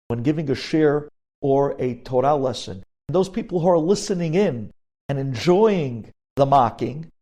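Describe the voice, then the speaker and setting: A man's voice: a little high, dark tone. A man lecturing as a rabbi in a sermon style, emphatic, repeating key phrases and mixing Hebrew terms into his English. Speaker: rabbi giving a religious lecture.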